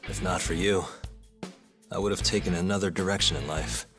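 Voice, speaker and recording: Actor as low-voiced, cool young detective. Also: male voice, fairly even intonation, fairly quick, over music, lightly acted. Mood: wry, reflective. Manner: casual, understated, wry. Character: cool, easygoing younger male detective close to yakuza. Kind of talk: English video game dub acting.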